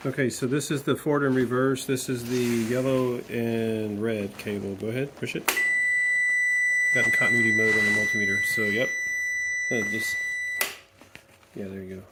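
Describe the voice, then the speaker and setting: Casual male DIY video maker, mid-pitched, talking himself through hands-on work, with clear background noise on a homemade recording. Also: fairly even intonation, moderate pace, pauses often. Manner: informal, thinking aloud while working. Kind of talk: casual hands-on repair narration.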